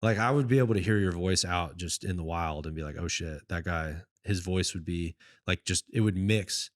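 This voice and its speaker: A man with a low voice hosting a podcast and chatting casually, in an enthusiastic, slangy, talkative way. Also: moderate pace. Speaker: podcast host. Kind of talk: casual chat.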